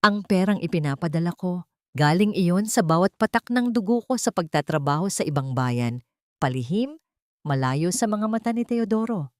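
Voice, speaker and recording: A woman's voice: on the low side, lively intonation, moderate pace, dry recording, studio quality, close to an everyday voice. A woman voicing an ailing middle-aged mother in a drama story, weak, pleading and sorrowful. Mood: anguished confession.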